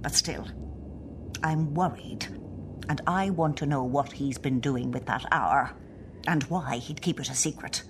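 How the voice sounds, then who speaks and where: A woman's voice: extremely low pitch, measured pace, background noise. An Irish woman acting as a nervous, hesitant middle-aged wife in a radio play. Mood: worried. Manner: hesitant, polite.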